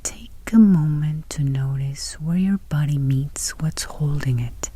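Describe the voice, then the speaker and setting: A woman guiding a meditation in a soft, breathy near-whisper, speaking gently and soothingly. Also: low-pitched, measured pace. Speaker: meditation guide. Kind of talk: guided meditation.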